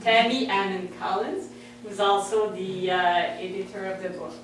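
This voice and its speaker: A woman's voice: mid-range voice, fairly even intonation, background noise. A woman introducing a guest speaker, hesitant with restarts. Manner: hesitant, halting. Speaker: host, board president. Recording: echoey hall, mic far off.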